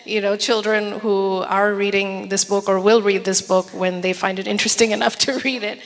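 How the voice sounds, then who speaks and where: A woman author from Bangladesh speaks earnestly into a handheld mic, often repeating a word while she finds the next. Her pitch stays level and even. Her voice carries a long hall reverb. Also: normal speed. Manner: earnest, repeats words while searching for them. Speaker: author on a panel. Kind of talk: panel discussion answers. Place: large event hall with an audience.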